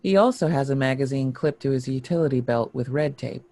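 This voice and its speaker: A man's voice: mid-range voice, fairly quick, dark tone. A man giving scripted narration, reading a screenplay's stage directions.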